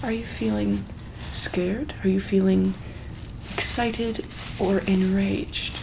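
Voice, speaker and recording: A woman speaks softly and very slowly, with plenty of fry in her voice. She plays a medical examiner in an ASMR roleplay, hesitating, repeating herself and slipping into whispers, over clearly audible background noise. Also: medium pitch, flat delivery. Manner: slow, hesitant, repeats questions gently.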